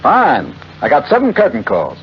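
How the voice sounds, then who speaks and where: An actor playing a doting, proud husband with a hearty, theatrical manner, in 1940s radio drama. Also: male voice, mid-range voice, wildly swooping pitch, normal speed. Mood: affectionate, proud. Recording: muffled, hissy old radio recording.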